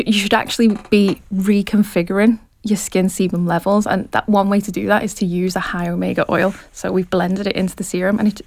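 A woman with a Liverpool accent in her thirties, talking about her business in an eager, chatty way and laughing easily. Her voice sits in a middle pitch and is recorded close and dry, with no room around it. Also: darkish tone, no room sound. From Liverpool, England. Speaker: skincare business founder. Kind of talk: interview answers.

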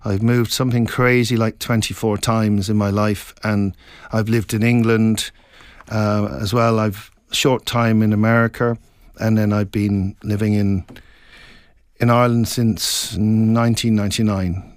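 A middle-aged man from Scotland, an author being interviewed, talking in a reflective, meandering way with halting restarts. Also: lowish voice, flat delivery, clear, resonant tone, no echo, clean studio sound. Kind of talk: casual interview chat.

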